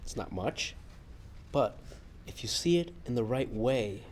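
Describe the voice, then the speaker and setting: A man playing a precise, aggrieved office accountant in a sitcom table read, speaking in a measured way. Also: mid-range voice, lively intonation, lightly acted. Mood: measured, earnest. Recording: faint background noise, live room.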